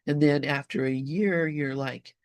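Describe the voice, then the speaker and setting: Slow, gravelly-voiced man teaching informally. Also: fairly high pitch, fairly even intonation, no room sound. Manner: halting, searching for words, conversational. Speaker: spiritual study group leader.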